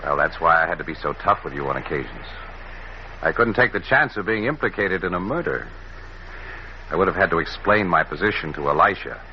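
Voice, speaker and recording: Deep-voiced, self-assured agent. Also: male voice, expressive, fairly quick. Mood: explaining. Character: self-assured, teasing government agent and romantic lead. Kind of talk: 1940s radio drama acting. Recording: muffled, faintly noisy old radio recording.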